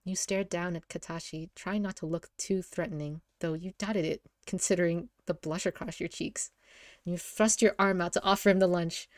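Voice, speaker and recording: A woman with a slightly low voice narrates a visual novel in a dry, close recording. She reads informally, prone to giggles, and often trips on a phrase and repeats it. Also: steady tone, moderate pace, darkish tone, no room sound. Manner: giggly, often stumbles and rereads lines.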